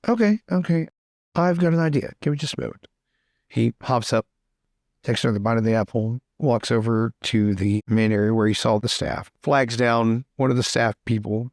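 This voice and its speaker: Male roleplay player, mid-pitched and creaky, bantering between narration and improvised dialogue. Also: darkish tone, no echo, studio quality.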